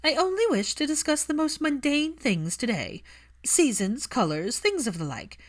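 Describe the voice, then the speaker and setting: A woman's voice: high voice, big pitch swings, normal speed, voice pushed up. A woman voicing an imperious older witch, an eager, scheming aristocratic mother with a haughty, insistent manner. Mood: haughty, coaxing.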